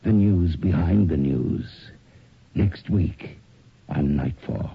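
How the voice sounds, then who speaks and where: Husky, slow, sinister horror host. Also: male voice, very deep voice, animated, full character voice. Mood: ominous. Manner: slow, ominous, dramatic delivery. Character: sinister, unhurried male horror-anthology host. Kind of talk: theatrical 1980s radio horror hosting. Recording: muffled, phone-like old radio recording.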